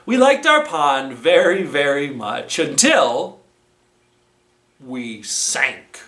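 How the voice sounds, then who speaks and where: Very slow, animated storyteller in an echoey room. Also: mid-range voice. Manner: slow, deliberate, expressive read-aloud delivery. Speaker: children's library storytime presenter. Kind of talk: animated storytelling with felt board. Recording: roomy, echoing, distant microphone.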